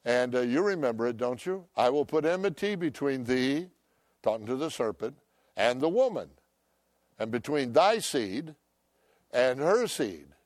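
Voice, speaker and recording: An older man preaching a sermon, loud and emphatic, swinging between shouts and hushed asides. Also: mid-range voice, very animated, unhurried, dry recording, studio quality. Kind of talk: impassioned sermon.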